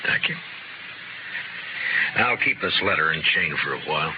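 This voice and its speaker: An actor playing a firm, principled frontier marshal, measured and dryly authoritative, in 1950s radio western style. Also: male voice, rumbling low, lively intonation. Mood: steady, firm. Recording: muffled, noisy old radio recording.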